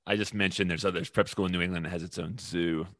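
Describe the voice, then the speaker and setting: A man interviewing a coach, asking questions and giving relaxed affirmations. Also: deep voice, rapid-fire.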